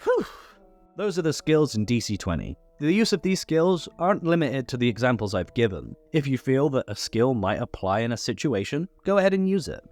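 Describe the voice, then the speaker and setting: A man's voice: medium pitch, sing-song, a little fast, darkish tone. A man hosting a scripted tabletop game rules explainer, listing things step by step. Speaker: tutorial host. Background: background music.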